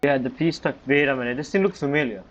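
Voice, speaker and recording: A male gamer comments casually over a playthrough. His mid-pitched voice mutters loose, informal reactions, a little dull in sound, with game music behind. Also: fast talker, a bit bright. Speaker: gaming streamer. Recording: muffled mic with game music.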